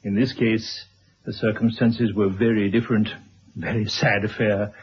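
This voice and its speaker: Male actor for kindly, formal older gentleman, period radio drama. Courteous, measured, apologetic; dull, noisy vintage sound. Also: lowish voice, flat delivery, natural voice. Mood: grave, measured. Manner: formal, wordy, courteous, hesitant. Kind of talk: 1970s radio drama acting. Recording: dull old radio recording, clear noise.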